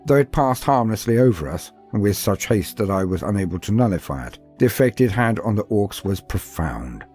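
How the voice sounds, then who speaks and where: Husky guy doing theatrical lore narration, really animated, big pauses, bit of music behind. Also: fairly low pitch. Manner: theatrical, with dramatic pauses and asides. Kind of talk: dramatic scripted storytelling narration.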